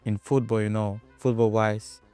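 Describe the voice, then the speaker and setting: A man's voice: lowish voice, little pitch movement, moderate pace, dark tone, smooth, faint music behind. A young man with a Kenyan accent, answering interview questions in a hesitant way with many fillers. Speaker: footballer guest.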